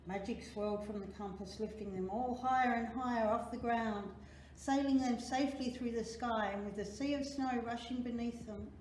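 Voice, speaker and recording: A woman reading a children's picture book aloud in an expressive, dramatic storytelling manner, drawing out key words. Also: a little high, normal speed. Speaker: library storytime reader. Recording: very echoey room, faint noise.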